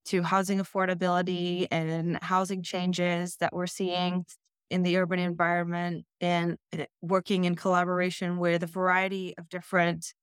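A woman originally from the Netherlands, in her thirties, explains her work in a measured, steady way. Her voice is smooth and clear. It sounds dry and close, with no room around it. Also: on the low side, no echo. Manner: measured, explanatory, occasional restarts. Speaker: school district planning head. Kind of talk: interview answers.

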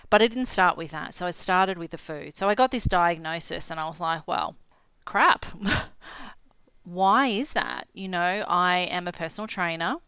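An Australian woman in her late forties speaks in a low, very clear voice with a bright edge. She talks conversationally and candidly, like a health coach hosting her own show and telling personal stories. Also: no room sound. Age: late 40s. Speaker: podcast host and health coach. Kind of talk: casual solo talk, personal storytelling.

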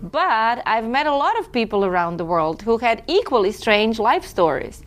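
A woman's voice: fairly high pitch, very animated, normal speed. A woman from Croatia, a guest in an interview, talking in a reflective, chatty way with a few self-corrections. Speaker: guest, film festival director. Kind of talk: relaxed conversational interview.

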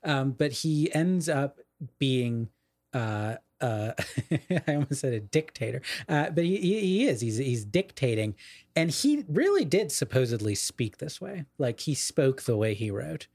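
Male podcast co-host, mid-pitched, bookish and explanatory, casual literary talk, very dry sound. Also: sing-song, a little slow, darkish tone, dry recording. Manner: erudite, explanatory, qualifying his points.

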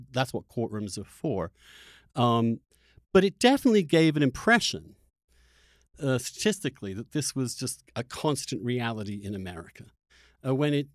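Animated man in halting political discussion. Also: medium pitch, dry recording, clean studio sound. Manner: halting, emphatic, with repeated phrases. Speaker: podcast host. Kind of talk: opinionated political interview discussion.

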